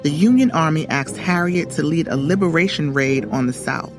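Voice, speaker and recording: A woman's voice: deep voice, normal speed. Woman narrating a story over music. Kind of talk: scripted storytelling narration for children. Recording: music under the voice. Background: background music.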